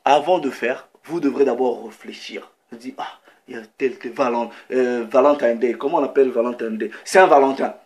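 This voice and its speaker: A man's voice: mid-range voice, darkish tone. A man preaching in French, reading scripture aloud and stopping often to check in with listeners and comment. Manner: reads scripture slowly, breaks in with "ok" and comments. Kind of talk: sermon with Bible reading.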